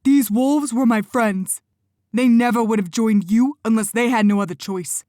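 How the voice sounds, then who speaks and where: A woman's voice: medium pitch, slow pace, no echo, clean studio sound. Woman doing a heated, furious young man. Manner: loud, urgent, heated. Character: fiercely loyal young male shifter, angry.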